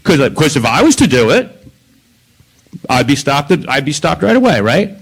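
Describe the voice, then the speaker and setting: A man's voice: on the high side, sing-song, moderate pace, gravelly, breathy edge, rough recording. A man speaking at a council meeting's public comment, emphatic and confrontational, repeating his points.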